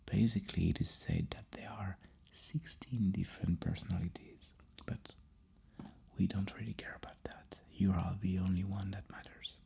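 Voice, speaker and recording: A man speaking in a soft, mostly whispered ASMR roleplay as a psychologist, breathy and halting, repeating words as he gently reassures. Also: sits low. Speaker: roleplay host acting as a psychologist.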